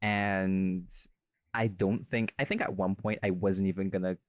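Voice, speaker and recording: Male podcast host, lower register, self-mocking and irreverent, casual talk, dry recording. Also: level tone, measured pace, dry recording. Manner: self-deprecating, jokey, profane. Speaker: podcast host, audio creator and voice actor. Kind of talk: casual behind-the-scenes chat.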